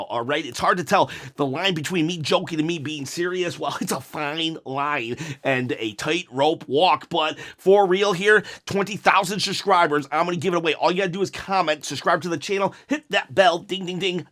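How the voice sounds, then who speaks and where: A man hosting a one-take wrestling review, talking in a rambling, self-mocking, jokey way with a raspy, hoarse voice that breaks into coughs. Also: high-pitched, fairly bright tone. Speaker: wrestling review channel host.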